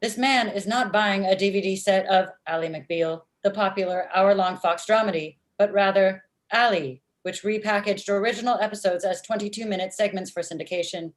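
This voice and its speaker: A woman in her thirties playing a blunt, literal-minded, murderous office robot in a comedy table read, with deadpan, formal phrasing. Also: medium pitch, moderate pace, hardly any pauses, natural voice. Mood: deadpan, judgmental. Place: indoor room at home, video call. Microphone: video call.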